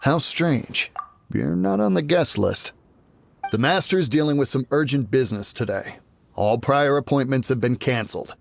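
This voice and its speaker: A man's voice: mid-range voice, expressive, moderate pace, gravelly. A man voicing a polite, wary male servant guarding his master's door. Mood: formal. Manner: polite, formal.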